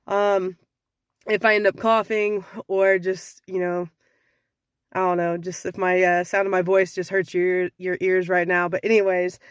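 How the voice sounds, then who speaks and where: A young woman with a slightly raspy voice from sinus trouble, giving frank dating advice in a casual talk to camera. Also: fairly low pitch, flat delivery, measured pace, dry recording, clean studio sound. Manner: frank, conversational, sassy.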